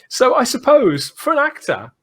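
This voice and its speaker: A man's voice: very high-pitched, fairly bright tone, breathy edge. A British man hosting a casual fan podcast, chatty and often repeating phrases as he builds a point. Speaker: podcast host.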